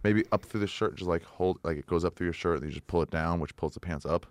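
A man's voice: sits low, fast talker, dry recording. A man telling a story as a guest comedian in a loose, jokey, profane style, wandering off into banter. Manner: jokey, profane, tangent-prone.

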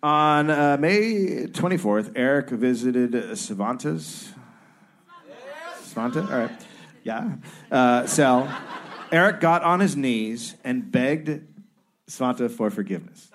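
Male live-podcast host narrating history, mid-pitched, jokey, trips on names, faint noise under the mic. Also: expressive, takes it slowly. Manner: reads history notes aloud, stumbles over names, joking. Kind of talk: live comedy podcast storytelling. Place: stage before a live audience.

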